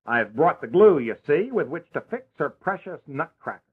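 A kindly old godfather telling a fairy tale, played by a man, with a lively, high voice that leaps about. He sounds a little husky, and the recording is tinny like a phone line. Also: normal speed, exaggerated voice. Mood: warm. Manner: theatrical, playful storytelling. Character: kindly older male godfather and storyteller. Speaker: narrator. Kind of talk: storybook narration.

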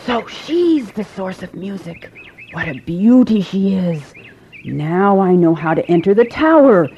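A woman's voice: medium pitch, sing-song, measured pace, dark tone, a little hiss or hum. A woman voicing an earnest, romantic young prince who speaks in a courtly storybook manner, in a slightly muffled recording.

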